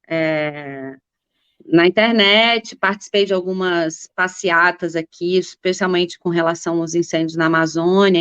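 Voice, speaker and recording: A woman's voice: medium pitch, dry recording, highs cut off. A woman in her forties, a political activist guest, telling her life story in a rambling, casual way with many fillers and restarts. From Brazil.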